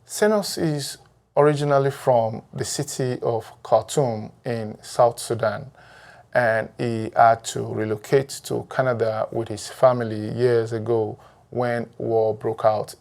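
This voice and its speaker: Male host narrating a documentary intro, unhurried, mid pitched voice. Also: vocal fry. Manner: steady, read-aloud introduction. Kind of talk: scripted narration.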